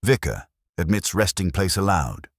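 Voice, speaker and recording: A man's voice: low-pitched, big pitch swings, normal speed, no echo. A man voicing a dry, reserved police inspector in a storybook mystery, terse, courteous and understated. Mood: note-taking.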